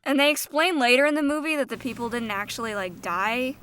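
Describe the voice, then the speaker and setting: A woman's voice: fairly high pitch, expressive, fairly quick, fairly bright tone, a little hiss or hum. A woman co-hosting a podcast, giving casual film critique in a frank, informal manner. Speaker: podcast co-host.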